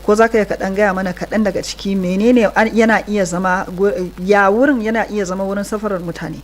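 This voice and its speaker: A woman's voice: medium pitch, steady tone, fast. A Nigerian woman hosting a bilingual radio talk show, putting questions to a guest and addressing listeners. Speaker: radio host. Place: radio studio.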